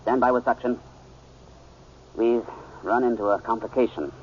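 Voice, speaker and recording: An actor playing a composed surgeon who lectures clinically and with measured authority in a 1970s radio drama. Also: male voice, mid-range voice, fairly even intonation, a little mellow, natural voice. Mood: grave, focused. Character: composed male surgeon lecturing while operating. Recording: noisy, dull old radio broadcast.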